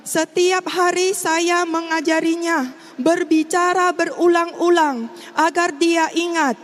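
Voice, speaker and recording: A middle-aged woman from Riau with an Indonesian accent, giving a formal, measured prepared testimony. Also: extremely high pitch, fairly even intonation. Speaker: testimony speaker. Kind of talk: prepared personal testimony speech. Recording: long hall reverb, faint background noise.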